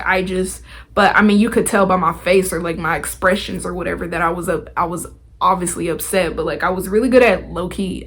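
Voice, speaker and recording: A woman's voice: fairly low pitch, fairly bright tone. A young adult woman hosting a podcast, talking in a casual, motivational way with chatty, informal, slangy phrasing. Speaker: podcast host.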